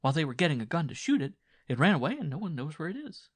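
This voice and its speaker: A man's voice: on the high side, big pitch swings, rapid-fire, husky, dry recording, pitched above the performer's own voice. A man voicing a talkative, prying peasant wife in an audiobook story, chattering and insistent. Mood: gossipy. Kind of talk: dramatized audiobook dialogue.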